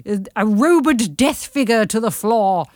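A woman's voice: on the high side, lively intonation, unhurried, darkish tone, dry recording, studio quality. A woman co-hosting a podcast in casual chat, playful and jokey with frequent giggles. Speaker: podcast co-host.

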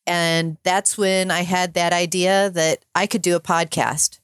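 Woman, middle-aged, calm soothing voice, lowish pitch, dry close sound. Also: measured pace, clean tone, dry recording. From northern climate region. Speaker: podcast guest. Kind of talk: personal story in interview.

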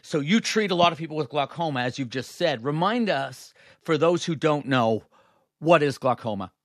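A man hosts a health interview in a friendly, conversational way. His voice sits a little high for a man, and the recording is dry and close to the mic. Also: animated, moderate pace, no room sound. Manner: friendly, conversational, self-deprecating. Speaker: host, emergency physician. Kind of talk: interview hosting.

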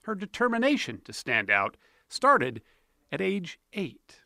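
Male TV correspondent, bright tone and animated pitch, polished feature narration. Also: unhurried, vocal fry, dry recording, clean studio sound. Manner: polished, storytelling. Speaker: TV news correspondent. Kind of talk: scripted news narration.

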